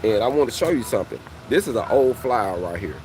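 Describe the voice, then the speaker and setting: A man's voice: mid-range voice, lively intonation, brisk, background noise. A man in his forties, a welding coach, talking casually to his viewers and often calling them "fam". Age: 40s. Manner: conversational, repeats "fam" and "you know what I mean". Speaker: welding coach and channel host. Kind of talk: casual motivational talk to viewers.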